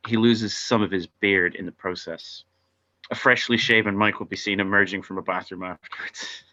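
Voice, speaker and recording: Male narrator for a table read: lowish, forceful bright voice, stage directions, slightly muffled sound. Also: fairly even intonation, moderate pace.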